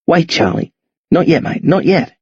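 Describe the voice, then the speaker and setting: A man's voice: fairly high pitch, very animated, normal speed, no echo, highs cut off, voice pushed up, full character voice. Man doing a blunt, streetwise teen. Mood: pleading. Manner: urgent, insistent, rough slang. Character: streetwise, blunt Australian teenage boy.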